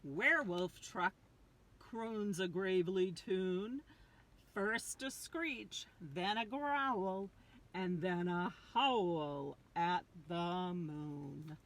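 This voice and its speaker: A woman's voice: lowish voice, extremely slow, fairly bright tone. An older woman reading a picture book aloud to young children, drawing out key words with dramatic emphasis.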